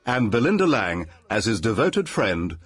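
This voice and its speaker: A man announcing the scripted credits of a radio play in a husky voice. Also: fairly low pitch, big pitch swings, a little slow, fairly bright tone, no room sound.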